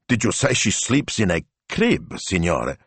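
A man's voice: low voice, wildly swooping pitch, a little slow, fairly bright tone, slightly rough, no room sound, full character voice. A man voicing a cheerful male hotel owner who talks in an eager, reassuring way.

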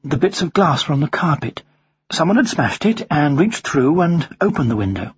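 A narrator voicing a gentle, steady mother who explains things carefully and soothingly in a storybook. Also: medium pitch, normal speed, husky, no echo, dull audio. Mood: gentle, serious.

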